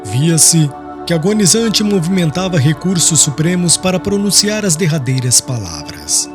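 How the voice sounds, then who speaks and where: A man's voice: on the high side, very animated, normal speed. A man narrating an audiobook as scripted drama, in a solemn, literary and mournful manner. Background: music.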